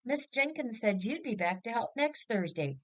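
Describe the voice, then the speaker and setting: A woman voicing an eager, excited young boy shouting his news in a storybook reading. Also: on the high side, normal speed, no room sound, pitched above the performer's own voice, lightly acted.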